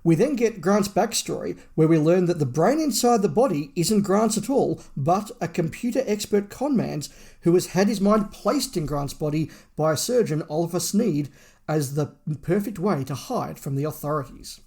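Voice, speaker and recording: A man reads a prepared story summary for a review podcast. His voice sits somewhat high for a man and has a clear creak running through it. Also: animated, normal speed, a little mellow. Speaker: podcast host.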